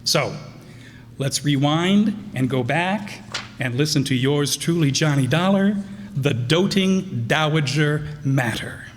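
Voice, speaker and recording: A man's voice: on the high side, animated, slow, a little hiss or hum. A man giving an informal, chatty introduction as host of a live radio players' show, partly reading from notes. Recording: echoey hall, live stage.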